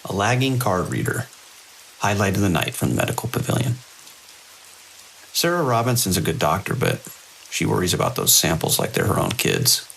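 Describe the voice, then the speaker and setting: An actor as a seasoned night-watch security chief, very low and full of gravel. He is wry and world-weary in this audio drama, measured but with lively inflection, over faint hiss. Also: heavily acted, poor audio. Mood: wry, reflective. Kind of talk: audio drama dialogue and log monologue. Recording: faint hiss and rain-like noise.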